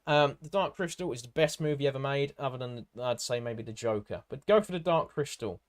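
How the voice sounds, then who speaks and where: Male live stream host, medium pitch, informal and rambling, jumping from one viewer message to the next. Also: a little fast. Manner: rambling, hopping between comments, informal and matey. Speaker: livestream host and content creator. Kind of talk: casual live stream chat, answering viewer comments.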